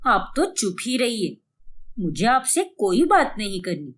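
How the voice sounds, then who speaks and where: A woman's voice: medium pitch, sing-song, measured pace, a bit bright, pitched below the performer's own voice. A woman voicing a jealous older wife and mother-in-law who sulks and complains in a family story. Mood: jealous sulking. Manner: complaining, huffy.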